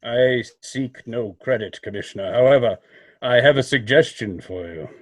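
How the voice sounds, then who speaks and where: An actor playing a knowing crime-fighting avenger in old-time radio drama style, speaking in a measured, formal, commanding way. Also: male voice, mid-range voice, no room sound, lightly acted. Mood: cool, wry. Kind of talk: 1940s radio drama acting.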